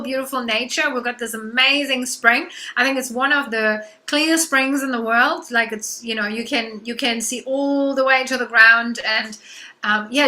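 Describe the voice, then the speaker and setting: A woman's voice: fairly high pitch, pushed and bright. A woman with a German accent, a guest coach being interviewed over a video call, enthusiastic, with frequent "um"s and "you know"s.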